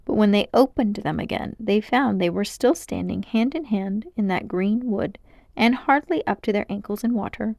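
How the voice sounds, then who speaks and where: A woman reading a children's story aloud as narrator, in an expressive storytelling style. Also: mid-range voice, normal speed, vocal fry, no room sound, clean studio sound.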